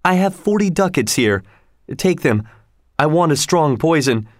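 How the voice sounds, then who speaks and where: A man's voice: on the high side, lively intonation, measured pace, no echo, studio quality. A man reading aloud, voicing an impulsive young lover who talks with urgency. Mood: grim. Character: young male lover, passionate and rash.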